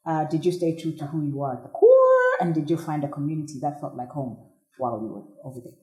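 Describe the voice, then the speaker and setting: A woman hosting a podcast in a casual interview chat, bubbly and quick to react with exclamations. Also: deep voice, big pitch swings, a little mellow. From Zambia. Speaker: podcast host. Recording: roomy echo.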